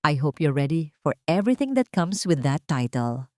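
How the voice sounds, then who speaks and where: A woman's voice: sits low, sing-song, normal speed, dry recording, clean studio sound. A woman with a Filipino accent voicing a cold, composed betrayed wife in an audio story, icy and controlled.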